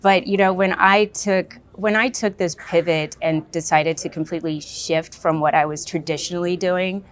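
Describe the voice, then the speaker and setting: Woman on a podcast, thinks out loud, bit of a low voice. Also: steady tone, normal speed, hardly any pauses. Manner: reflective, searching for words. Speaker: podcast guest, trade show staff. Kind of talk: casual interview chat.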